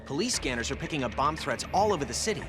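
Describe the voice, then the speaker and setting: A man's voice: fairly high pitch, very animated, quick pace, music in the background. A man voicing a brainy, earnest teenage mutant turtle in a video game, explaining things with urgency. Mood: urgent, earnest. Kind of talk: video game cartoon voice acting.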